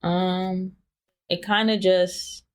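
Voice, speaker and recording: A woman in her twenties, a guest talking candidly about her relationships in a hesitant, soft-spoken way with lots of filler words. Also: fairly low pitch, little pitch movement, very slow. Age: 20s. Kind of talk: candid personal interview.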